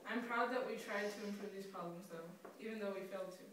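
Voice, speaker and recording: A woman's voice: medium pitch, fast, lightly acted. A woman playing a male French socialist leader answering a scripted mock interview. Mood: earnest. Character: French socialist leader, male, reflecting on his government's reforms. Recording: very echoey, noisy, distant mic.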